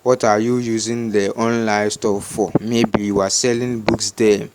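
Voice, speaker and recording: A man with a Ghanaian accent gives unscripted step-by-step instructions in a halting, repetitive way, as a tutorial host. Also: fairly low pitch, flat delivery, very noisy.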